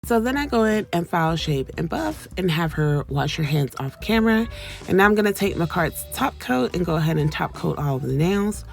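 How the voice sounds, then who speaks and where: A woman in her thirties talks through a nail tutorial in a relaxed, chatty voiceover. Her voice sits on the low side with a gravelly fry. Soft music plays faintly behind her. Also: a little fast. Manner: chatty, says her voice shifts between soft and excited. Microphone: voiceover mic.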